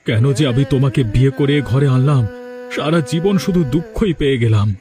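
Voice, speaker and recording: A man's voice: medium pitch, expressive, brisk, music in the background, natural voice. A man voicing a worried, despairing village farmer and father in a cartoon, speaking in a plaintive, lamenting way. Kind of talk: Bengali cartoon dialogue dubbing.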